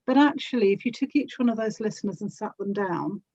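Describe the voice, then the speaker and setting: A woman's voice: medium pitch, lively intonation, fairly quick, warm tone, husky, dry recording. A woman speaking as a podcast guest in casual, thoughtful conversation, on a dry and slightly muffled microphone. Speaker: podcast guest, audio drama actor and producer.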